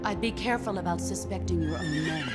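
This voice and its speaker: Woman playing a composed, commanding soldier, loud music. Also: on the low side, quick pace, close to an everyday voice. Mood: calm, grave. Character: composed, authoritative legendary female soldier and boss. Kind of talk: dramatic video game cutscene acting.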